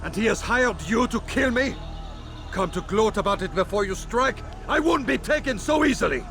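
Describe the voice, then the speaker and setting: A man's voice: sits high, lively intonation, normal speed, a bit bright, faint music behind. An actor playing a haughty, suspicious crusader king in a video game, imperious and commanding. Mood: indignant. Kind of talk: video game cutscene acting.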